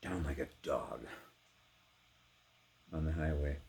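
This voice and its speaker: A man giving a theatrical, intense dramatic recitation of poetry, swinging between hushed delivery and full-throated cries. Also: extremely low pitch, slow pace, gravelly. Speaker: poetry performer.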